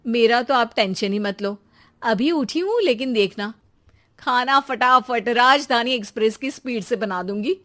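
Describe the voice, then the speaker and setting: A woman's voice: on the high side, a little slow, fairly bright tone. A woman voicing a brash, boastful, impatient young daughter-in-law in a children's cartoon story. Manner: teasing, bragging, impatient. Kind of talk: children's animated moral story.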